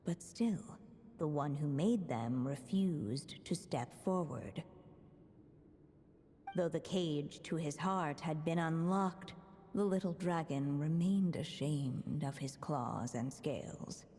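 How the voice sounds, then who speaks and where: A low, unhurried storybook narrator, solemn and wistful. Her scripted fairy-tale delivery rings in a long reverb. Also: dark tone. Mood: wistful, grave. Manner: measured, solemn. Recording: long reverb, faint noise.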